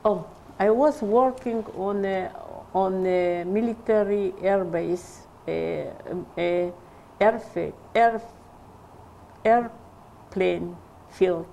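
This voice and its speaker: An older woman, giving an oral history interview, halting and searching for English words. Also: medium pitch, gravelly, warm tone, a little hiss or hum.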